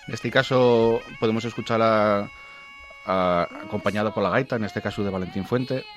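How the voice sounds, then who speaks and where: A man with an Asturian accent presenting music in informal radio talk, halting and repeating words as he searches for the next one. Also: on the low side. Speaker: guest music commentator on a radio show.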